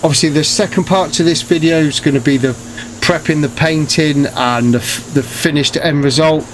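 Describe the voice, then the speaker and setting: A man with a cold, sounding bunged up and croaky, talking casually to camera about his paint spraying work with many ums and restarts. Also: fairly high pitch, moderate pace, a little hiss or hum, low-quality recording. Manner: relaxed, hesitant, many fillers and restarts. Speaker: paint sprayer, channel host.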